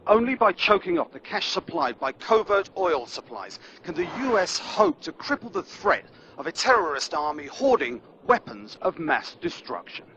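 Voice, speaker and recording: An actor playing a serious television war correspondent, delivering a scripted field news report in a video game. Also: male voice, high-pitched, big pitch swings, moderate pace, few pauses, dull audio, background noise. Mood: grave. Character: urgent male American TV war correspondent.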